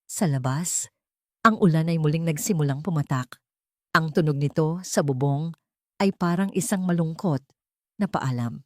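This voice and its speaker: A woman's voice: sits low, moderate pace, pauses often, no echo, clean studio sound. A woman narrating a scripted story in a dramatic, emotive and solemn way.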